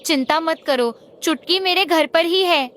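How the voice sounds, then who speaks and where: Lady doing a friendly cartoon bird auntie, really high voice, reassuring. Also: measured pace, fairly bright tone. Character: kind, reassuring female bird friend and mother. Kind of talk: Hindi cartoon story dialogue.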